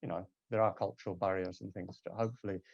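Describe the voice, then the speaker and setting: A man's voice: low voice, fairly even intonation, quick pace, creaky, dry recording. Male theatre translator for a Q&A panel: hesitant, casual, keeps rephrasing; dry, lo-fi sound. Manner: hesitant, self-correcting, tentative. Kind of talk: casual post-show panel discussion.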